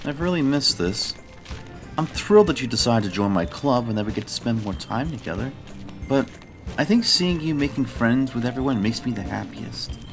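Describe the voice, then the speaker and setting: A man's voice: medium pitch, expressive, normal speed, creaky, soft background music. An actor playing a sweet, cheerful, slightly clueless young male club vice president, earnest and encouraging, in a visual novel audio drama. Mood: quiet, sincere.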